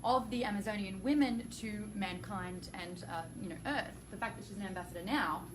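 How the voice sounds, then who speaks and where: A woman's voice: medium pitch, fairly quick. A woman with an Australian accent hosts a live-stream interview in a large public building. She is bubbly and playful, slipping in puns. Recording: noisy busy venue.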